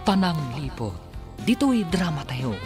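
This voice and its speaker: A Filipino-accented announcer reads scripted credits and narration for a radio drama. The delivery swings expressively in pitch, carried over strong background music. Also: sing-song, measured pace. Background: loud theme music.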